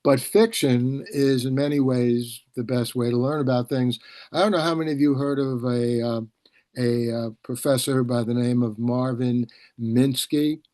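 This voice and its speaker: A man hosting a casual video-call discussion, explaining things in a halting, discursive way and often repeating words. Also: medium pitch, fairly even intonation, warm tone, no room sound, clean studio sound. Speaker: host, publisher and professor.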